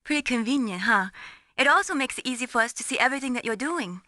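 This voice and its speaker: An actress playing an earnest, gently teasing young female radar technician in a video game. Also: on the high side, animated, fast talker, no room sound, studio quality. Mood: bright, teasing. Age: young adult. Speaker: mission support operator. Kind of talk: scripted video game voice acting.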